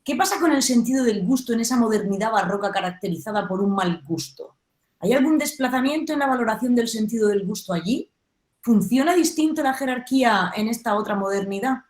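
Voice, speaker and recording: A woman's voice: a little high, animated, brisk, a little mellow. A woman from Spain with a Peninsular Spanish accent, moderating an online academic panel, reading questions and closing the session. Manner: friendly, formal wrap-up with fillers. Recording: echoey room audio.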